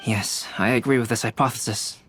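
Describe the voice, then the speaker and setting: A man voicing a composed, analytical, serious man in a video game, speaking formally with a husky voice. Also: mid-range voice, fairly even intonation, very noisy, natural voice. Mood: matter-of-fact. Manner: formal, deliberate. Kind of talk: English video game cutscene acting.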